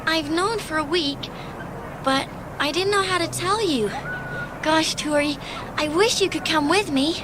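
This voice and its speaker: A woman's voice: high-pitched, measured pace, noisy background. A woman playing a practical, cheerful young girl and loyal friend in a dramatised audiobook, speaking earnestly. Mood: reassuring.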